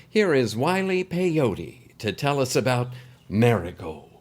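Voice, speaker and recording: Man playing a hearty, theatrical podcast captain, lively pitch. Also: slow. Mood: hearty, playful. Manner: hearty, theatrical, wordplay-loving. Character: jovial theatrical male podcast captain, hearty host persona. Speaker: podcast host. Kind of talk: scripted theatrical podcast introduction.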